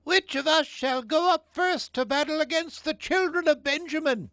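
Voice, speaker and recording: A man's voice: very high-pitched, normal speed, a bit bright, dry recording. A man playing an outraged, urgent ancient Israelite warrior in a declamatory radio theatre Bible drama. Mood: urgent questioning.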